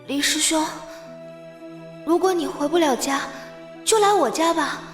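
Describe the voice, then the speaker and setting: A woman's voice: high voice, a little slow, soft background music. An actress playing a timid, earnest, pleading teenage girl disciple in an audio drama, recorded with a roomy echo.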